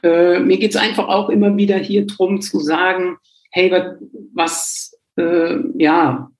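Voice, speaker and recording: Guy hosting a chatty interview, kinda high voice, rambles a bit, echoey room. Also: flat delivery, slow. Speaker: interview show host. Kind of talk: casual interview talk.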